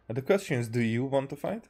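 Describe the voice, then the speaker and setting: A man from Hungary, a native Hungarian speaker, giving casual, halting gameplay commentary with muttered repeats. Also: mid-range voice, dark tone, slight background noise. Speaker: game streamer. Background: game music.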